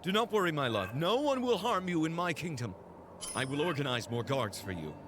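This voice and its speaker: A man's voice: on the high side, big pitch swings, fairly quick, background noise. A man voicing a proud, possessive royal fiancé in a game cutscene, speaking formally. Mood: commanding. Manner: formal, stern and commanding.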